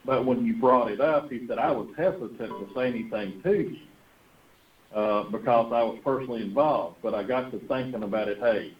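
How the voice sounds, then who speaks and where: A man speaks in an earnest, conversational storytelling style over a muffled, lo-fi conference phone line. His manner is folksy, and he often restates a point in several ways for emphasis. His voice sits at an ordinary middle pitch. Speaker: host of a conference call, pastor. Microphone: telephone. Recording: dull, lo-fi conference phone call.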